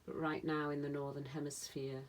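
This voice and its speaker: A woman meditation teacher leading a guided relaxation in a soothing manner, speaking in short phrases with long pauses. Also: low voice, little pitch movement. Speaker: yoga nidra meditation teacher.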